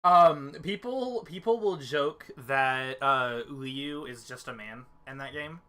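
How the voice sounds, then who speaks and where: Male gamer, mid-pitched and sharp-toned, opinionated, relaxed banter among friends. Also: slow. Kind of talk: casual gaming banter.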